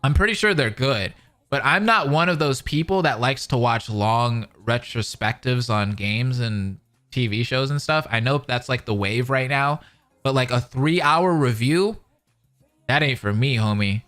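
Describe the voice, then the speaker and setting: A man's voice: medium pitch, unhurried, fairly bright tone, faint music behind. An American man chatting casually with livestream viewers in a slangy, laid-back, conversational way. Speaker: streamer, reaction commentator. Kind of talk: casual livestream chat with viewers, reading out comments.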